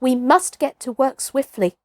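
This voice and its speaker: A woman's voice: sits high, a little slow, darkish tone, dry recording, clean studio sound, voice pushed up. A woman voicing a bold, commanding young jungle boy in a storybook reading. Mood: resolute. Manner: urgent, exclaiming commands.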